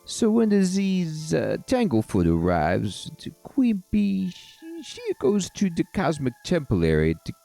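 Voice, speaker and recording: A man voicing a streetwise, chummy male fairy in a video game, with an exaggerated mock Italian accent and a halting, theatrical delivery. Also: a little high, wildly swooping pitch, gravelly, mellow, no room sound, soft background music, pitched above the performer's own voice, heavily acted. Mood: confiding.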